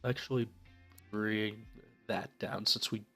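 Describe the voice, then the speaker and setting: Low-voiced stuffed-up nasal man. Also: slow pace, soft background music. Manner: relaxed, thinking aloud with many fillers. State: stuffed up from a cold. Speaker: let's play host. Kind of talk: casual game commentary.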